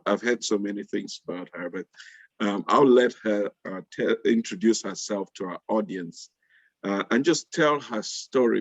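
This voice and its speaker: A man with a fairly low voice hosts a live webinar. His delivery is halting, with repeated words. The sound is dry and somewhat muffled, as from a video call. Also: no room sound. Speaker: panel host. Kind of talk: live webinar hosting and introductions. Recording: muffled online call audio.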